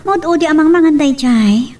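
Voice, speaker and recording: Actress as a very high-voiced shy young woman. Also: moderate pace, darkish tone, recorded from a distance, lightly acted. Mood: shy, grateful. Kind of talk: radio drama acting in Ilocano.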